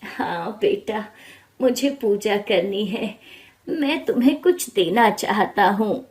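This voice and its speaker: A woman voicing a kindly, frail old holy man in a storybook tale, speaking softly and gently. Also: medium pitch, lively intonation. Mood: gentle, blessing. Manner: slow, gentle, soft-spoken.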